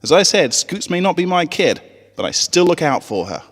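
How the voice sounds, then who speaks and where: A man voicing a loyal tomboy female pony from a story, brisk, casual and earnest. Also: high-pitched, big pitch swings, long reverb tail, voice pushed up. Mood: determined.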